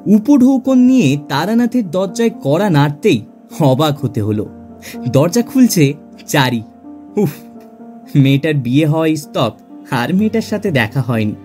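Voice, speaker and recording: A man playing a genial middle-class clerk who narrates his own tale in an expressive, theatrical audio drama style. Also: on the high side, a little slow. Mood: warm storytelling. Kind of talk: dramatised first-person audio story narration. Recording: music under the voice.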